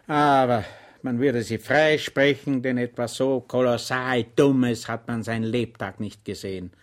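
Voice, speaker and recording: A man's voice: medium pitch, fairly even intonation, a little slow, natural voice. A man reading an audiobook, voicing an exasperated, short-tempered army lieutenant who talks with sarcasm.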